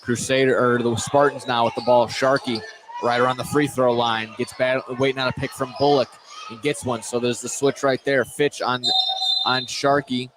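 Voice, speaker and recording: A man's voice: mid-range voice, little pitch movement, moderate pace. Man calling basketball over gym noise. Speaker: play-by-play sports announcer. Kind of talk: live basketball radio commentary. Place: indoor basketball gym, courtside vantage point. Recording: clearly noisy gym ambience, bouncing balls. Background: gym noise and basketball bounces.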